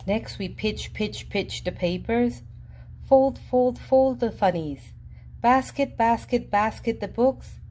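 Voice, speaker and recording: A woman reading a children's picture book aloud in a lively, sing-song storybook voice with playful jumps in pitch. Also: slow. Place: indoors at a table, reading an open book. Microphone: camera above the book, reader unseen.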